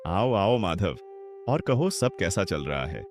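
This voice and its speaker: A man's voice: low-pitched, very animated, slow pace, heavily acted. A man voicing a generous older village headman in a cartoon, talking in a confident, benevolent way. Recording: background music under voice.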